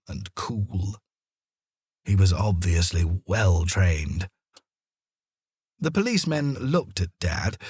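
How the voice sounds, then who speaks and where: A man narrating a children's story in an expressive storytelling manner, with deliberate pauses. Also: lowish voice, slightly rough, no echo, studio quality. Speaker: audiobook narrator.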